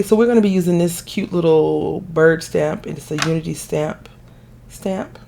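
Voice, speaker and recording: Woman craft host, hoarse and raspy, lowish gravelly voice, chatty and rambling. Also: darkish tone, a little hiss or hum. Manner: chatty and rambling, self-correcting. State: recovering from illness, hoarse. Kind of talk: casual chat while stamping a card.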